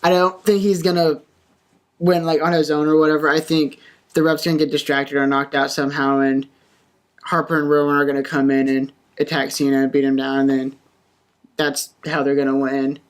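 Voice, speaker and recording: Mid-pitched podcast panelist talking casually. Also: flat delivery, brisk. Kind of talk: casual wrestling prediction talk.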